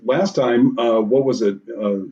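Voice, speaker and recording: A man's voice: mid-range voice, measured pace, mellow, recorded from a distance, lo-fi sound, slight background noise. A man who works as a voice actor, chatting casually and telling anecdotes in a group conversation.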